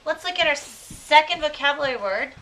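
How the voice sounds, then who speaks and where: A woman speaks as an elementary teacher with a bright, fairly high voice. She asks questions and echoes answers slowly and deliberately, with encouraging endearments. The recording is picked up from a distance. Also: animated. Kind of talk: classroom instruction, questioning pupils and repeating their answers. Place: classroom with children. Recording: distant mic, clearly audible room noise.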